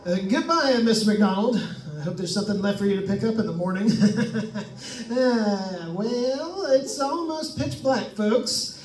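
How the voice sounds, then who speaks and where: Echoey distant recording, man playing chatty radio host, high voice, wisecracks, old-time radio drama. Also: animated, a little slow. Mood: jokey, jittery. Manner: wisecracking, folksy asides to listeners. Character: jokey, chatty male radio host on a ghost hunt. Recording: echoey room, distant microphone.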